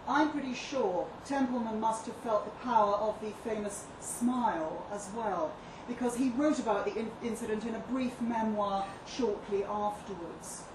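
A woman playing an earnest, articulate author giving an interview, read live as a measured, deliberate dramatic monologue. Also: fairly high pitch, lightly acted. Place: live reading in a pub venue. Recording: distant echoey live room, noisy background.

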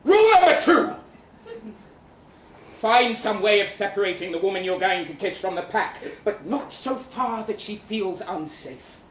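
Guy doing a cocky pompous soldier giving seduction rules, loud n high, declaiming in an echoey room, story reading. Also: big pitch swings, measured pace. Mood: pompous instruction. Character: crippled Russian soldier, lecturing seducer, pompous and cocksure. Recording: echoey live room, audible noise.

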